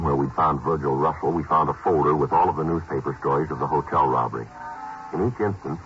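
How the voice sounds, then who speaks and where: An actor playing a terse, matter-of-fact police detective who also narrates, in a 1950s radio drama. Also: male voice, rumbling low. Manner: clipped, flat, matter-of-fact delivery. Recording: muffled old radio broadcast with hiss.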